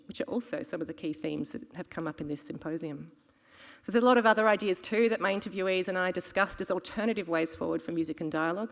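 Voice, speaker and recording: A woman presenting prepared research at a conference, reading in a measured, formal way. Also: lowish voice. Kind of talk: reading a prepared academic talk. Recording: long hall reverb.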